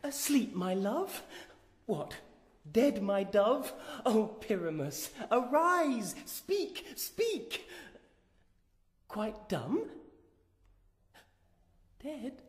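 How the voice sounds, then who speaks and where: An actress playing a grieving young maiden, lamenting melodramatically in Shakespearean verse for a radio drama. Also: female voice, on the high side. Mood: distraught. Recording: echoey hall with long reverb.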